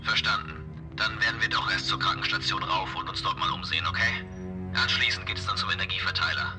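Man acting animated spaceship captain in command. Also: fairly low pitch, fast talker. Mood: composed. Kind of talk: sci-fi horror audio drama acting. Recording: loud music under the voice.

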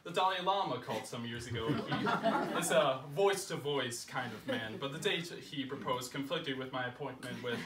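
A young man reading a humorous essay aloud in a projected, deliberate, mock self-important way. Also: mid-range voice, over a noisy crowd. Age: young adult. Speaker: reader at a home literary salon. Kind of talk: live reading of a humorous first-person essay. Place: living room, indoors, small seated audience. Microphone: distant camera microphone. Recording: roomy echo, distant camera mic, faint noise.

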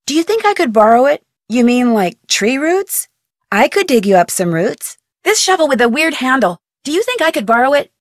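A woman's voice: on the high side, no echo, studio quality. A young woman voicing a curious, polite amateur detective in recorded game dialogue, asking earnest questions. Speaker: game protagonist. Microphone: close studio mic.

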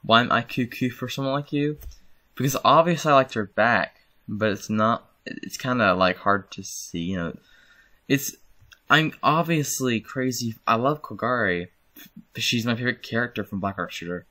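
A man's voice: medium pitch, expressive, moderate pace, bright, forceful tone. A young man casually commenting on his own channel's videos while screen-recording, rambling and correcting himself. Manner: rambling, self-correcting, chuckles. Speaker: channel host.

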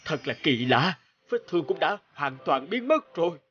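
An actor playing a grateful, dignified older mandarin in a cartoon folk tale, formal and courteous. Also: male voice, on the high side, big pitch swings, normal speed, fairly bright tone, slightly rough, no room sound, dull audio, exaggerated voice. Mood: pleased, gracious. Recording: music under the voice.

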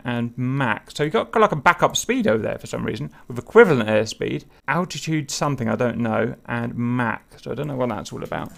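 A man's voice: medium pitch, vocal fry. A man hosting a casual group voice chat, thinking aloud with many fillers and wondering questions. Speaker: host leading a group discussion. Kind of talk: casual group chat over a cockpit picture.

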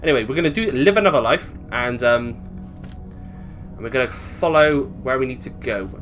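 A man's voice: mid-range voice, animated, normal speed, a bit bright, soft background music. A British man giving casual gameplay commentary, thinking aloud, swearing and questioning himself as he goes. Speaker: gaming video host.

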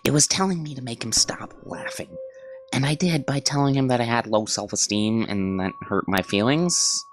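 A woman voicing an unhinged, rambling male stranger, slipping into whispers, with soft background music. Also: a bass voice, normal speed, voice pushed down. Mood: rambling menace.

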